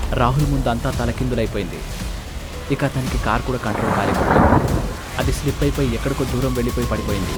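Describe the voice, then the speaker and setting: A man narrating a scripted horror story as a storyteller. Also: medium pitch. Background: loud music, rain and storm effects.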